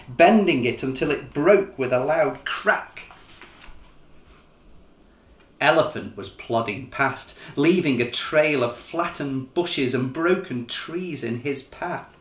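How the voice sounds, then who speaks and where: Middle-aged man, unhurried storybook narration, dramatic, measured, mid-pitched, roomy living room. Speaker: author-illustrator reading a picture book. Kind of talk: expressive children's storybook narration. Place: small living room, indoors.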